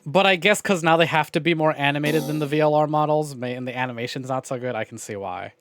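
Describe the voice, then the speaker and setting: A man's voice: fairly high pitch, fast, music in the background. A man hosting a livestream, giving casual, conversational game commentary straight to his viewers. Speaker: livestream host.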